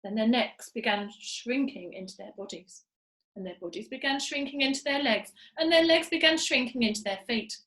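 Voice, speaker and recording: A woman reading a children's story aloud with expressive storytelling delivery. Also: fairly high pitch, moderate pace. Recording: echoey room.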